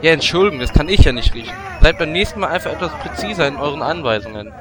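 An actor playing a lecherous, scheming adventurer in a comic audio drama, wheedling and grumbling. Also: male voice, a little high, expressive, rapid-fire, crowd noise, natural voice. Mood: defensive.

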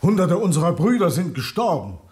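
Radio drama: principled underground leader, earnest, deliberate, strongly varied intonation. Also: male voice, fairly high pitch, very animated, a little mellow. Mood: firm, earnest. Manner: measured, emphatic, deliberate phrasing. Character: principled male revolutionary leader, earnest and firm. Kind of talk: radio play acting.

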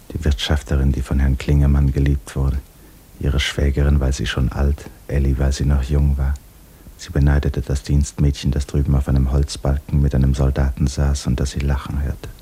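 A man narrating a literary radio drama in a grave, measured, restrained manner. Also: rumbling low, mellow. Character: sombre male literary narrator. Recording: faintly noisy old radio recording.

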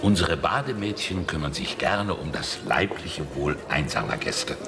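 A man's voice: extremely low pitch, lively intonation, normal speed, crowd noise. An actor playing a hearty, obliging male innkeeper of a medieval town in a radio drama, folksy and courteous. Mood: courteous, suggestive. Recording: music behind the voice.

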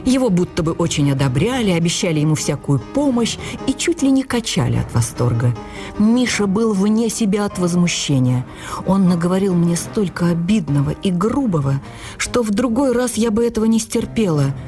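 An actress playing an earnest, wounded woman writer, narrating her memoir for radio in an expressive, confiding, deliberate manner. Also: fairly low pitch, over music, close to an everyday voice. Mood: hurt, indignant. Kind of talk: dramatized first-person literary narration for radio.

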